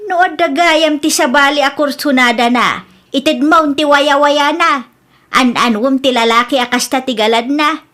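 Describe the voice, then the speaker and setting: A protective, scolding mother with a high, sharp voice and an Ilocano Filipino accent , played by a woman. She lectures her grown daughter in a radio comedy drama style. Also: moderate pace. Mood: scolding, disapproving.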